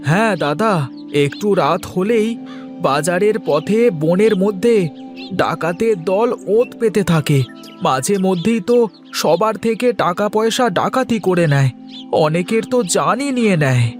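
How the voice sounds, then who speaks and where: A man's voice: sits high, animated, unhurried, faint music behind. A man voicing an anxious villager in a folk-tale cartoon, telling of danger with concern. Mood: worried. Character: worried male villager warning of forest bandits.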